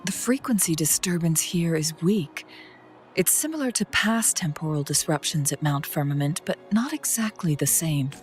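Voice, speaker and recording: An actress playing a calm, perceptive female strategist in a video game, speaking in a measured, formal, articulate way. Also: low-pitched. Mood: composed, serious. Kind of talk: scripted video game dialogue. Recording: music behind the voice.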